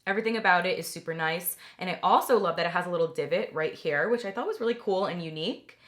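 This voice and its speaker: A young woman talking through a makeup product review in a chatty, enthusiastic way, her voice slightly raspy from a recent cold. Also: fairly low pitch, fast talker, a bit bright. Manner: chatty, enthusiastic, many "like" fillers. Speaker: beauty vlogger.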